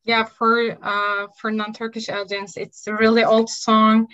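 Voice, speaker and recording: Woman interviewer, encouraging, casual phrasing, slightly high voice, muffled lo-fi recording. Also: flat delivery, unhurried, a bit bright. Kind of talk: friendly interview. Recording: dull lo-fi sound.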